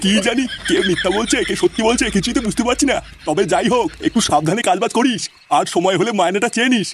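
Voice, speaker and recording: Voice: mid-range voice, fast, a little hiss or hum, close to an everyday voice. An actor voicing a querulous, curious old grandfather in a comedy cartoon, with a folksy, questioning manner. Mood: musing.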